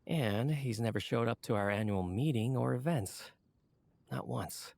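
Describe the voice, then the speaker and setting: A man playing a courteous, earnest book editor in a video game, explaining things in a measured, formal way. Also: medium pitch, gravelly, darkish tone, no echo. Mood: earnest, explanatory. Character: polite, earnest, wordy male book editor. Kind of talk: video game character dialogue.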